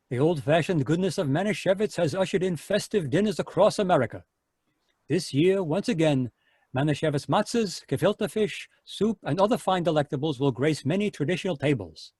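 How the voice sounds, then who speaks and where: Breathy man reading vintage radio ads. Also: fairly high pitch, expressive, a little fast, a little mellow, no echo, studio quality. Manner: smooth salesman cadence. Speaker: announcer reading sponsor ads. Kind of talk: 1940s radio commercial. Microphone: home microphone on a video call.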